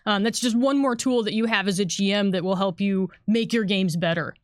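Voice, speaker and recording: A woman's voice: mid-range voice, fairly quick, dry recording. A woman co-hosting a designers' livestream, chatting in an upbeat, agreeable way as she answers viewers. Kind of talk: casual chat, answering viewer questions.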